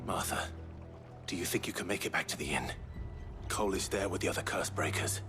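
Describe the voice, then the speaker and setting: A man's voice: deep voice, brisk, music in the background, close to an everyday voice. A man playing a grim, honorable young swordsman in a fantasy video game, terse and solemn. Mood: concerned, serious.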